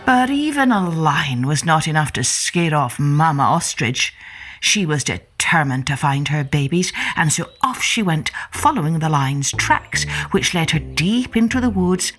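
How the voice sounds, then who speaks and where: A woman tells a story for young children with dramatic, deliberate delivery. Her voice is slightly low and swings widely in pitch, and she takes her time over each phrase. Faint music sits behind her. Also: lively intonation, fairly bright tone. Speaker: storyteller and podcast host.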